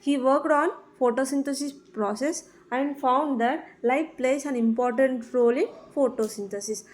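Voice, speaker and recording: South indian lady teacher, pretty high voice, lecturing emphatically and repeating key terms. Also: darkish tone, low-quality recording. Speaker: biology teacher. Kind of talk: classroom lecture, explaining definitions.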